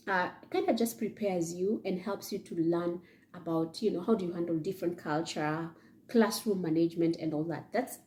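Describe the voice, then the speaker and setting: A woman's voice: mid-range voice, warm tone. A young Kenyan woman with a Kenyan accent talking to camera indoors, giving chatty advice and often checking in with "yeah?" and "okay?". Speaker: vlogger. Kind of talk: casual advice talk to camera. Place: indoor room, seated in front of leather chair.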